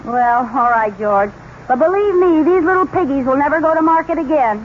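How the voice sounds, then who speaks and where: A woman's voice: sits high, expressive, brisk, loud music behind the voice. A woman playing a wry, kindly but exasperated married woman in old radio comedy, theatrical and wisecracking, on a muffled recording. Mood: wry, reluctant. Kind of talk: 1950s radio sitcom acting.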